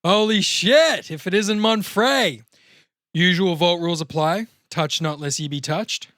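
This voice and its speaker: A man's voice: high-pitched, unhurried, fairly bright tone, no room sound, studio quality, pitched above the performer's own voice. Man reading as a sarcastic comic-book slacker. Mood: mock-grand sarcasm. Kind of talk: first-time script table read.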